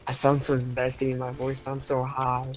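A man's voice: medium pitch, completely flat delivery, moderate pace. A teenage boy chatting casually with a friend while they play a game together. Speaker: co-player. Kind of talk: casual gaming chat. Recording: voice chat with faint music behind.

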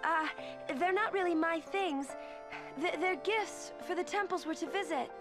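An actress playing a gentle, polite, timid young summoner in a video game, speaking haltingly. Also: female voice, very high voice, over music. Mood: flustered. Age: young adult. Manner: halting, soft-mannered. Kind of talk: video game cutscene acting.

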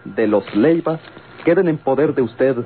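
An actor playing a courteous, composed gentleman with a Mexican accent and formal, measured manner in an old radio drama. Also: mid-range voice, lively intonation, close to an everyday voice. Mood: deferential, businesslike. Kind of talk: golden-age Mexican radio drama acting. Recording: tinny, noisy old radio recording.

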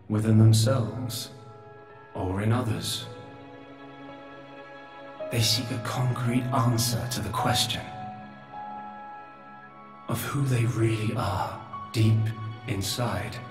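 Ancient godlike old man, low-pitched, solemn, world-weary, philosophical, game cutscene, roomy echo. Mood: solemn, reflective. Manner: grave, measured, philosophical. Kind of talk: dramatic video game cutscene acting. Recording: roomy echo, distant, music behind.

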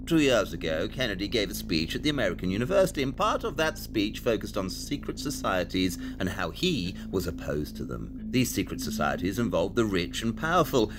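Male actor as brilliant detective, assured and exacting. Low, animated delivery, formal audio-drama exposition.

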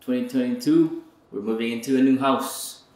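A man's voice: medium pitch, normal speed, poor audio. Chatty young man touring an empty house. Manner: laid-back, chatty, talks to the viewers. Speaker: vlogger. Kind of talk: casual vlog house tour. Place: empty basement suite and snowy yard. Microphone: handheld camera at arm's length.

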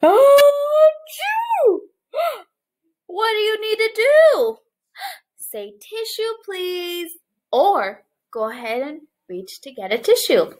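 A young woman preschool teacher reading a picture book aloud to small children and explaining it, with animated, exaggerated expression. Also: extremely high pitch, extremely slow. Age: young adult. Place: small living room, indoors, on a couch.

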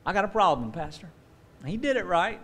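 A man's voice: high-pitched, a little fast, a little hiss or hum. A man in his forties, a pastor preaching a sermon from a lectern on a church stage, telling stories and acting out the voices of people he quotes. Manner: animated storytelling, acts out quoted voices. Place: church stage with dark curtain. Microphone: stand mic at lectern.

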